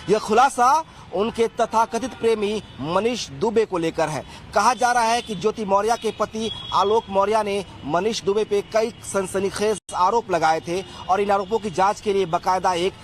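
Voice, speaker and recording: High-voiced male news reporter. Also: a little hiss or hum. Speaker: field reporter.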